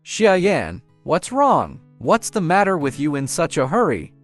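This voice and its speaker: A man's voice: a little high, wildly swooping pitch, unhurried. A caring teenage boy, played by a man speaks as the sweetheart in a comic dub. His intonation swings widely, protective and reassuring in manner. Light music sits behind the dialogue. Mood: concerned. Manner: gently scolding, reassuring. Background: background music.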